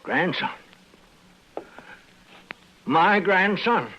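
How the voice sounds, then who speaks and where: An American actor playing a stubborn, grudging old rancher in a 1950s radio western, with folksy, cantankerous rural speech. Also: male voice, high-pitched, lively intonation, very slow, bright, forceful tone, slight background noise, exaggerated voice. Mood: flustered, softened. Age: older. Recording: dull vintage radio recording.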